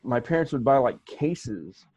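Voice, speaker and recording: An American man co-hosting a podcast in casual chat, halting with many restarts and fillers. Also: mid-range voice, expressive, a little mellow, husky. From United States. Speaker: podcast co-host.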